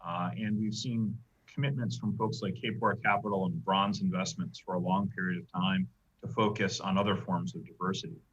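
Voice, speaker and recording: A man speaking as an investor on a panel, deliberate and pausing often with "uh". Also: low voice, a bit bright, breathy edge, muffled recording. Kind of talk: panel discussion.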